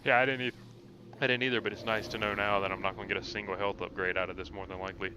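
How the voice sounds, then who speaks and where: A man's voice: on the low side, level tone, very fast, background noise. A man giving casual live gameplay commentary as a streamer, thinking aloud and explaining tactics. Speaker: video game streamer.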